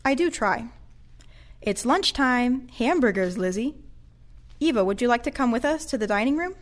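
A kind, patient care aide played by an actress in an audio drama. She speaks in a fairly high voice, gentle and encouraging. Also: animated, normal speed, close to an everyday voice. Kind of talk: audio play acting.

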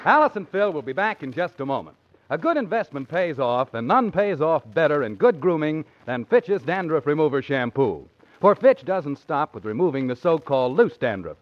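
A man's voice: fairly high pitch, no echo. A man reading a 1940s radio advertisement as announcer, with polished, emphatic salesmanship. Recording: dull 1940s radio recording.

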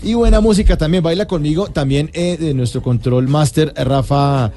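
A man's voice: fairly high pitch, moderate pace, dark tone, soft background music. A man hosting a radio show, bantering playfully and plugging the call-in line. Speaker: radio host. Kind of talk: casual radio chat.

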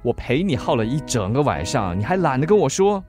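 一位演员在广播剧里饰演酷酷的年轻男子，嘴上抱怨却肯帮忙，带新加坡口音，说话带点调侃。. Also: male voice, medium pitch, very animated, brisk, close to an everyday voice. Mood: complaining. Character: cool, teasing young man, reluctant helper. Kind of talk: radio drama dialogue. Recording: music playing under the dialogue.

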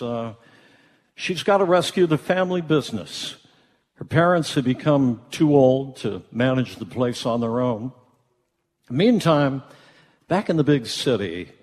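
A man's voice: medium pitch, darkish tone. A man narrating a live radio play in a folksy, conversational storytelling style. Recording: echoey theatre hall.

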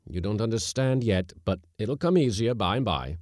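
A man's voice: lowish voice, lively intonation, normal speed, darkish tone, no echo, clean studio sound, pitched above the performer's own voice, lightly acted. A man voicing a stiff, self-important ship's captain in a storybook, commanding and trying to sound dignified. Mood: firm, coaxing. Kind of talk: storybook character voice.

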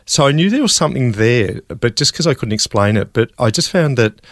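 An older man with an Australian accent, a scientist guest telling his personal story in an earnest, steady way with some restarts. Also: medium pitch, fairly quick, dry recording, studio quality. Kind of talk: personal testimony in conversation.

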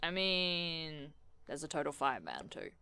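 A woman's voice: low-pitched, sing-song, measured pace, sharp tone, smooth, no echo, full character voice. A woman playing a skeptical, teasing alien believer in an audio drama, argumentative and wry.

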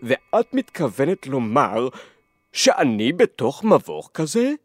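A man's voice: medium pitch, big pitch swings, dry recording, pitched below the performer's own voice. A man voicing a smug, single-minded magical creature with a dry, parched voice and a clipped, soldierly manner. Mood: smug, puzzled.

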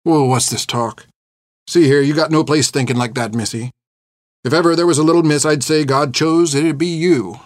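A gruff old reformed pirate, played by a male narrator, haunted and fierce yet tender underneath. He talks in folksy, ungrammatical seaman's speech, in a dramatic audiobook performance. The sound is very dry and close. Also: medium pitch, moderate pace, no room sound, natural voice. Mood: subdued, remorseful. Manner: folksy, ungrammatical rustic old-sailor talk. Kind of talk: dramatic audiobook character acting.

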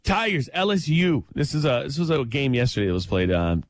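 A man co-hosting a morning radio show, talking sports in an emphatic, excited way and laughing easily. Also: medium pitch, a little fast, no echo.

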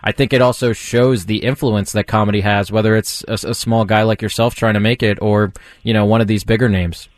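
A man's voice: lowish voice, flat delivery, fairly quick, hardly any pauses, no echo, clean studio sound. A man, the show's producer, asking thoughtful, rambling questions with lots of restarts. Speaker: executive producer. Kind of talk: talk radio conversation.

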